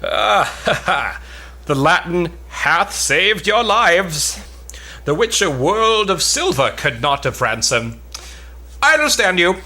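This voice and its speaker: A man's voice: high-pitched, sing-song, slow pace, pushed and bright, exaggerated voice. A man playing a boastful, bombastic mock-warrior knight in a pompous, declamatory amateur reading of an old stage play.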